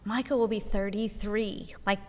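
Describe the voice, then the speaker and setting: A woman in her forties talks in a loose, rambling way, full of "you know" and "like". Her voice sits at an ordinary middle pitch. The room carries a long, echoing reverb. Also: fairly even intonation, moderate pace. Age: 40s. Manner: chatty, rambling with many fillers. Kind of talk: casual church podcast conversation.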